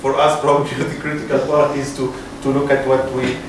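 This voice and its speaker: A man moderating an event, introducing speakers in an unscripted, halting and hesitant way. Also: medium pitch, flat delivery. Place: university room. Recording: echoey room, distant mic, noisy.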